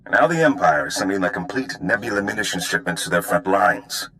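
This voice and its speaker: An actor playing a sly, easygoing underworld operative giving briefings in a video game. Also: male voice, low-pitched, lively intonation, fairly quick, bright, forceful tone. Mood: briefing, wry.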